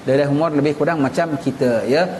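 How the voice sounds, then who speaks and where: A man's voice: a little high, a little fast, darkish tone. A man from Singapore with a Singaporean Malay accent gives a religious lecture as an Islamic teacher, explaining conversationally with repeated phrases and rhetorical questions. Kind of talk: religious lecture, reading a text and explaining it colloquially. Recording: echoey room with clear background noise.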